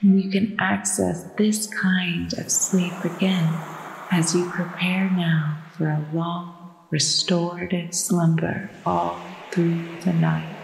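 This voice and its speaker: A woman narrating a guided sleep meditation in a soothing, hushed and deliberate manner. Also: on the low side, level tone, creaky, slight background noise. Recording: echoey long reverb with music behind. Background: relaxation music with ocean waves.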